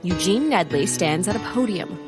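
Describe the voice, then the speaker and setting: A woman's voice: lowish voice, expressive, moderate pace, close to an everyday voice. A woman reading scripted narration for a comedic audio drama, setting the scenes as a storyteller. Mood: announcing. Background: music.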